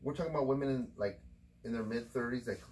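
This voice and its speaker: A man's voice: medium pitch, fast, a little mellow, a little hiss or hum. A man in his forties hosting a casual podcast chat, blunt and profane, lecturing his co-host with insistent repetition. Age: 40s.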